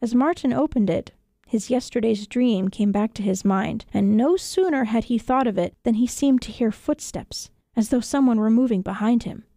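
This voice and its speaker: A woman narrates a children's story from a script. Her voice sits fairly high, and the studio sound is dry and close. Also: normal speed, warm tone, no room sound. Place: radio studio.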